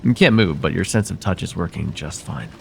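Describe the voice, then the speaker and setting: Man acting as male vampire therapist, gravelly fry, soft and deliberate, soothing yet possessive, intimate ASMR roleplay, faint background noise. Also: sits low, animated, exaggerated voice. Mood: coaxing, possessive. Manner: soft-spoken, deliberate, hypnotic pauses, formal wording.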